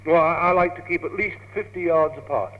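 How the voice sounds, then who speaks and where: A man's voice: fairly high pitch, brisk, lightly acted. Stammering lovestruck young British man , acted out. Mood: flustered earnest. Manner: stammering, earnest, gushing. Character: lovestruck, naive, eager young gentleman, David. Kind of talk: old British radio comedy acting. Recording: tinny, hissy, humming old radio tape, reverberant.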